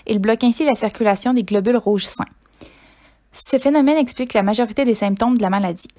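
A young woman student reads a voice-over from a script at a quick pace. Her voice sits a little high. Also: mellow. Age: young adult. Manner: read script. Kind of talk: voice-over narration.

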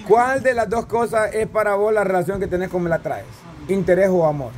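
A man's voice: sits high, brisk, darkish tone. A man with a Salvadoran accent hosting a casual group chat, teasing and pressing with repeated questions. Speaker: host of a group vlog. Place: covered open-sided yard with a crowd.

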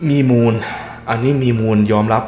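A man's voice: moderate pace, darkish tone, a little hiss or hum. A man hosting a reaction video, reading text aloud and breaking off into casual musing remarks and hums.

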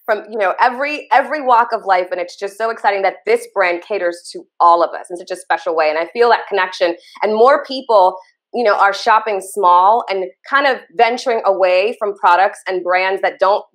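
A woman's voice: mid-range voice, a bit bright. Chatty woman host from Trinidad. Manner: enthusiastic, chatty. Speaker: interview host. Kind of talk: video call interview. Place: home office. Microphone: video call.

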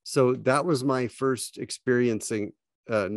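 A middle-aged man telling fishing stories in a slow, reflective way with frequent pauses, speaking from a home office over a video call with earbuds. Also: mid-range voice, dry recording, studio quality. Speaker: podcast guest, fly fishing writer. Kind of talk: casual storytelling. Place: home office with bookshelves, indoors. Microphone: wired earbuds, video call.

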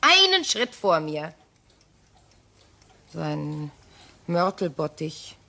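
An actress playing a shaken, wistful ageing widow in a radio crime drama, with halting, pause-filled delivery. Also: female voice, deep voice, very animated, creaky, pushed and bright, full character voice. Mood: agitated recounting.

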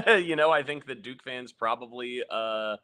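A man's voice: mid-range voice, expressive, a little slow, pushed and bright, smooth. A young man co-hosting a casual postgame sports podcast over a video call, talking through the matchup in a rambling, analytical way with many fillers and restarts. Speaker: podcast co-host.

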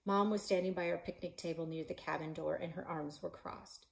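A woman in her forties, a librarian and author, reading her children's novel aloud in a living room, voicing the characters with shouts and whispers. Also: fairly low pitch, fairly even intonation, brisk, creaky. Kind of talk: children's book read-aloud. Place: living room in front of a stone fireplace.

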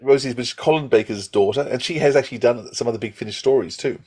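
Male podcast co-host, middle-aged, husky edge, halting and conversational, thinking aloud over a home video call. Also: mid-range voice, dark tone. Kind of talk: casual fan chat. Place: small room at home, indoors.

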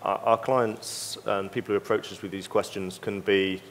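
A middle-aged man speaking as a consultant in an unscripted conference panel discussion, measured and careful to qualify his points. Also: fairly low pitch, flat delivery. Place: on stage in a large conference hall. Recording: reverberant conference hall.

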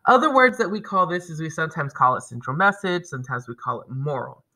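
A man's voice: on the high side, lively intonation, fast, sharp tone. A man in his thirties teaching a reading lesson to children, explaining and reading aloud with emphasis. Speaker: elementary school teacher.

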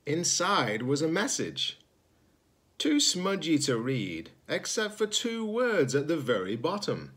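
A man in his forties, a teacher-librarian reading a children's picture book aloud, expressive and slightly husky, pausing to ask listeners questions. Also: fairly high pitch. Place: indoors, room at home with sloped ceiling.

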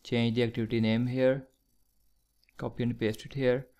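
A man teaching programming in a screencast, slowly dictating code step by step with fillers. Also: mid-range voice, level tone, a little mellow. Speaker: programming tutor. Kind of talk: screencast coding tutorial.

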